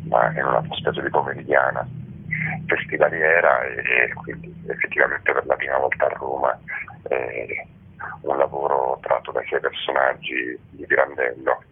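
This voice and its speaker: Very deep man on the phone. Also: level tone, husky. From Rome, Italy. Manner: halting, measured, with pensive pauses. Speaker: theatre director and actor, interviewed guest. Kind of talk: reflective radio interview answers. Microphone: phone call. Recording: tinny telephone line.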